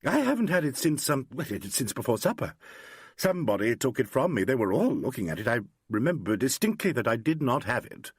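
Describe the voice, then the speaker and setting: A man's voice: mid-range voice, big pitch swings, a little fast, slightly rough, no room sound, studio quality. A man voicing a poised but tense grown actress in a novel, speaking carefully under strain. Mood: flustered.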